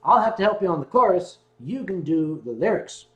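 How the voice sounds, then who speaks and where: A man hosting a casual livestream, joking and swearing freely, with bursts of rapid talk. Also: sits high, big pitch swings, dull audio. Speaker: livestream host. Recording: roomy room with loud guitar music. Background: guitar music.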